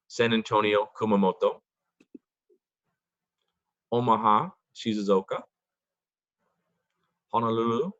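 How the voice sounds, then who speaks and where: A middle-aged man from Boston giving prepared remarks at an online forum, speaking deliberately slowly and clearly. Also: lowish voice, no room sound. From Boston, United States. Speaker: foundation board member presenting. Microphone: video call. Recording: dull, dry video-call audio.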